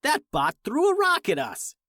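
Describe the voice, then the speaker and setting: A man's voice: very high voice, wildly swooping pitch, normal speed, fairly bright tone, dry recording, studio quality, pitched above the performer's own voice, heavily acted. A man voicing an eager, fortune-hungry young male drone pilot in a children's space story. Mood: alarmed.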